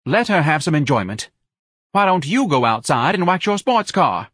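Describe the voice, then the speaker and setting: Self-righteous nagging wife, acted by a man, raised lively pitch, reproachful audiobook drama. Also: normal speed, breathy edge, no echo, studio quality, exaggerated voice. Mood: defensive.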